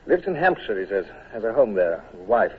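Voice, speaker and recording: An actor playing a clipped, authoritative naval commodore who also narrates, in a vintage radio drama. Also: male voice, fairly low pitch, sing-song, brisk, husky, heavily acted. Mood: brisk. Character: brisk, commanding male naval commodore. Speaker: narrator and lead. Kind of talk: 1940s radio acting. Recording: tinny old radio broadcast with faint hiss.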